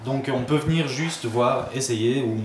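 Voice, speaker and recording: A man with a medium-pitched voice talks as an interview guest in a roomy, echoing space. Faint noise sits behind him. His delivery is informal and explanatory, like a teacher chatting about lessons. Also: unhurried. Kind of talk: casual conversational interview answers. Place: indoor studio. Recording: roomy echo, clear background noise.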